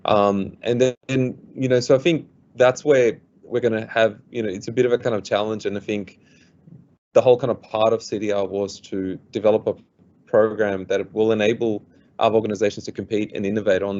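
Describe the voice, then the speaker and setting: A man's voice: fairly low pitch, a little mellow. A man speaking as a panelist in a business webinar, earnest and explanatory, often repeating words as he goes. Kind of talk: business presentation. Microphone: video call. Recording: dull lo-fi online call audio.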